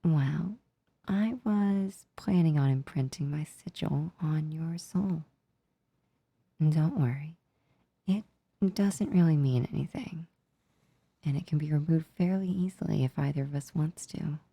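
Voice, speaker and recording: A woman voicing a gentle, affectionate demon in an ASMR roleplay, soothing and tender, with a soft, breathy, near-whispered delivery. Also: deep voice, no room sound, lo-fi sound, heavily acted. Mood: tender, sympathetic. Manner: slow, soothing, tender, unhurried. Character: gentle, comforting female sleep paralysis demon, affectionate and reassuring.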